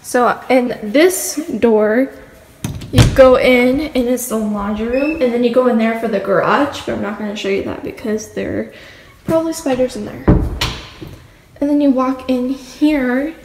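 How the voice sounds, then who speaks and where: A woman's voice: fairly high pitch, normal speed. A teenage girl vlogging a casual house tour with a chatty, rambling way of talking, filmed on a phone held at arm's length in an empty house. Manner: chatty, rambling, filler-heavy. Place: empty house with bare walls, indoors. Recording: echoey empty rooms, faint room hum.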